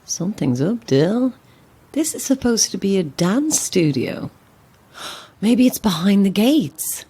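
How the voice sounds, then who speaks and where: A woman's voice: medium pitch, big pitch swings, slow pace, mellow, a little hiss or hum. A woman playing a flustered, self-dramatizing struggling actress who rambles theatrically to herself in an audio drama. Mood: wry, musing. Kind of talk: modern audio drama acting.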